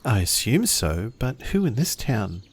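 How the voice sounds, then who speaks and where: A man's voice: fairly low pitch, very animated, a little slow, breathy edge, full character voice. A man roleplaying a thoughtful, observant traveller in a samurai tabletop game, musing aloud in a hesitant, improvised way. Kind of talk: improvised in-character dialogue.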